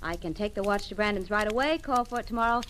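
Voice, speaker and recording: An actress playing a detective's plucky, anxious friend, expressive and a little flustered, in 1940s radio acting style. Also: female voice, mid-range voice, quick pace, natural voice. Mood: friendly, eager. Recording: noisy old radio drama recording.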